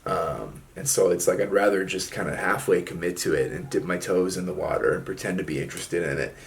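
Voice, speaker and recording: A man's voice: sits low, a little fast, gravelly. A young man talking casually to his viewers in a laid-back reflective monologue, often dropping "man" and "like". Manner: laid-back, drawling, frequent fillers like "man" and "like". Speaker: solo video host.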